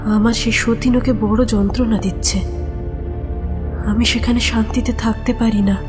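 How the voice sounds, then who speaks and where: A woman's voice: fairly high pitch, fairly even intonation, normal speed, lightly acted. An actress playing a dead mother's ghost in an audio horror story, protective, resolute and commanding. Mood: aggrieved, resolute. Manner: insistent, commanding. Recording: music behind the voice.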